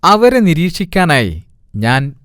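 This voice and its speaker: A man's voice: a little high, very animated, slow, a bit bright, dry recording, clean studio sound, heavily acted. Pompous, scheming cartoon cat king. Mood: self-important. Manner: pompous, commanding. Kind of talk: cartoon voice acting.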